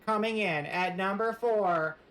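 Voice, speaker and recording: A woman's voice: mid-range voice, a little slow, fairly bright tone, low-quality recording. A woman streamer giving a casual live-stream presentation, rambling and joking in a playfully mock-serious way.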